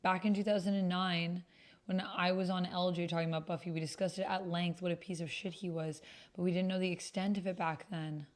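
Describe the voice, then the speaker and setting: A woman's voice: fairly low pitch, level tone, fairly quick. A woman streamer chatting casually and thinking aloud as she plays and reads out a text-based roleplaying game. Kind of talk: casual livestream chat with typed roleplay read aloud.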